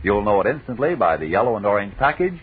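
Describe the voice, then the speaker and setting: A man's voice: lowish voice, very animated, fast, breathy edge. An American man working as a 1940s radio announcer, with a polished, emphatic sales delivery. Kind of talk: 1940s radio advertising and banter. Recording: muffled, phone-like 1940s radio recording.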